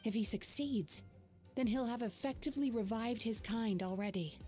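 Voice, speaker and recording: A woman voices a wise young dream healer in a video game. The voice is soft-toned and slightly high, calm and explanatory, with faint music underneath. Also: lightly acted. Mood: grave. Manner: measured, explanatory, composed.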